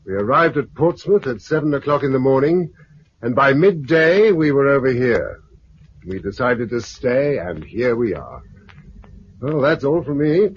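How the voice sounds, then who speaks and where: An actor with a British accent playing a resolute, protective leader of survivors in a 1950s sci-fi radio drama. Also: male voice, mid-range voice, very animated, unhurried. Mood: reflective, resolute. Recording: dull, noisy 1950s radio recording.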